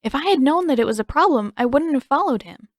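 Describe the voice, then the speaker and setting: A woman's voice: high voice, lively intonation, fast, no room sound, clean studio sound, pitched above the performer's own voice. A woman voicing an earnest, apologetic teenage girl in an audiobook, with a reassuring but easily flustered manner. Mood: anxious, earnest. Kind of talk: audiobook character acting.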